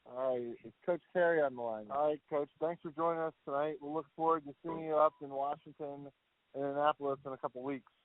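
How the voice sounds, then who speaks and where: A man moderating a press conference call for a bowl game, brisk and businesslike. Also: fairly high pitch, steady tone, no room sound. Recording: phone line.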